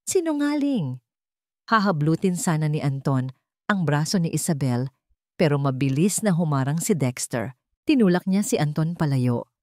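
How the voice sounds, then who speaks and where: A woman reads a dramatic romance story as its narrator. Her voice sits on the low side for a woman, and the recording is dry and close. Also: lively intonation, moderate pace, darkish tone, no echo.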